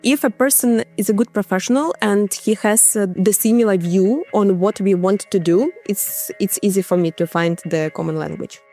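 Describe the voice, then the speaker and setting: A woman's voice: medium pitch, normal speed, few pauses, mellow, soft background music. A woman from Ukraine, a journalist guest, answering interview questions in careful, hesitant English with many fillers and non-native phrasing.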